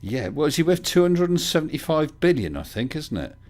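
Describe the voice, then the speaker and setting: Host with dry wit, lively pitch. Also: male voice, fairly quick. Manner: wry, dry humour, brings callers in. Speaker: livestream host. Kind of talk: casual call-in chat.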